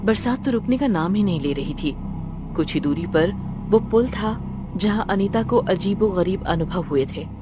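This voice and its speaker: Lively woman narrator over loud music. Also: medium pitch, normal speed. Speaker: storyteller, narrator. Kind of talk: dramatic scripted horror story narration. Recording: loud music bed behind voice.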